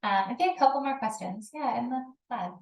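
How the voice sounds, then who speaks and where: A woman moderates a Q&A, brisk and polite as she calls on people. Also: fairly high pitch, lively intonation. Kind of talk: hosting a Q&A. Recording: roomy echo.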